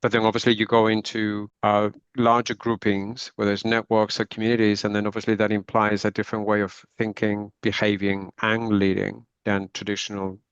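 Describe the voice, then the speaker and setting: A middle-aged Spanish-accented man talks in a low voice with little rise and fall. His tone is smooth and resonant, and he tends to tag his thoughts with "right?". The sound is dry and a little dull. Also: little pitch movement, moderate pace, few pauses, no echo. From northwest Spain. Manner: reflective, often ends points with "right?". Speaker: podcast guest. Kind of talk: conversational interview.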